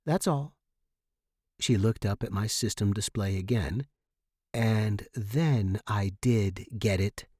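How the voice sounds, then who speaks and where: A man's voice: lowish voice, fairly even intonation, takes it slowly, dark tone, no echo, studio quality. A man narrating first-person fiction from a script as an audiobook reader.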